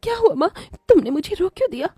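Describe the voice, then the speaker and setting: A woman voicing a kind, protective young bride-to-be, gentle and earnest, in an animated moral story. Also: very high voice, quick pace, no room sound, clean studio sound. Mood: concerned.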